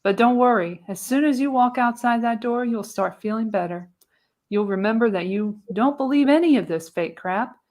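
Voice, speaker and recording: A woman's voice: a little high, moderate pace, a little mellow, voice pushed up, lightly acted. Radio play role: older female seer, folksy, wry, knowing, acted by a woman at a table read. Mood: reassuring.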